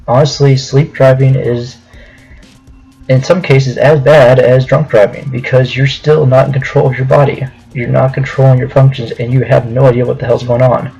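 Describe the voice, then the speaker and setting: Husky man telling stories casually. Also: medium pitch, darkish tone, muffled recording. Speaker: video storyteller. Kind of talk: casual personal storytelling to viewers.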